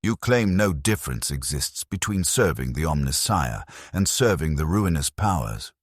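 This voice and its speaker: Tech priest interrogator , performed by a man: bottomless low register, rough-edged, stern, formal, condemning, sci-fi audio drama. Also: big pitch swings, normal speed, dry recording, studio quality, heavily acted. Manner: stern, pronouncing, formal zealot. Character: cold accusing tech priest interrogator in bronze mask.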